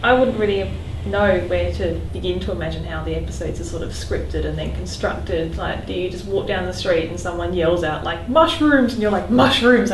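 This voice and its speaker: Woman chatting from afar in echoey room. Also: lowish voice. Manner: rambling, frequent "like". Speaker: podcast panel interviewer. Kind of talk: casual fan interview chat. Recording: echoey, distant mic, clear background noise.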